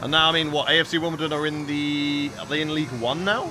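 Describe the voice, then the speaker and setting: A man's voice: fairly high pitch, steady tone, quick pace, noisy background. A young man casually chatting with viewers while he plays a racing game on a live stream.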